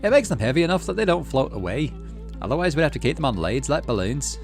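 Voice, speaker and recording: A folksy, upbeat farmer dad from a visual novel, acted out by a man, pitched well up with lively swings. He explains things to his kid in a chummy, cheerful way over music. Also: fast talker. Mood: proud. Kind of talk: visual novel character read aloud.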